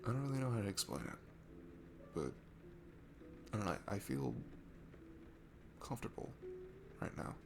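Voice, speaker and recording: A man playing a shy, insecure, sweet guy at a bar in an intimate audio roleplay, talking in a nervous, halting, apologetic ramble. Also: lowish voice, sing-song, gravelly, noisy background. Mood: shy, open. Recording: music behind the voice. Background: music.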